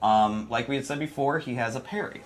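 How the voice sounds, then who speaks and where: A man's voice: mid-range voice, brisk, a little hiss or hum. An enthusiastic man presenting a video game demo in a casual commentary style. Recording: music playing behind the voice.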